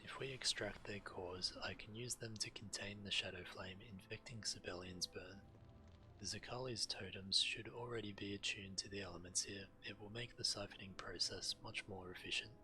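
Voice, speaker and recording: An actor playing a grave, resourceful shaman in a fantasy video game, with measured, deliberate, serious delivery. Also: fairly low pitch, steady tone, sharp tone, poor audio. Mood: grave, calculating. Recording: game music behind.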